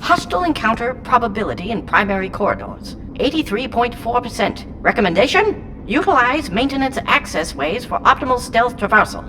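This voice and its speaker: An unhinged, whimsical artificial intelligence from an audio drama. The delivery is theatrical and eccentric, with very lively, swooping intonation. A clear layer of sound-effect noise sits behind the voice. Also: female voice, a little high, fairly quick, hardly any pauses. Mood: clipped, robotic. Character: whimsical, unhinged sentient shard voice inhabiting a robot.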